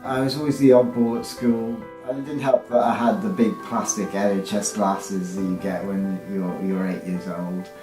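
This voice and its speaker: A man's voice: fairly low pitch, steady tone, normal speed, few pauses. A man, telling stories of his childhood in a candid, wry way. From West Wales. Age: 30s. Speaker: interviewee. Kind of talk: personal recollection. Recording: roomy recording with faint music.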